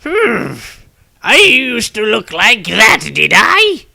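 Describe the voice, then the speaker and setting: A man voicing a very old, wistful and cheeky male wizard in a storybook, with drawn-out words. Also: high-pitched, huge pitch swings, creaky, sharp tone, pitched above the performer's own voice, heavily acted. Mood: wry, amused.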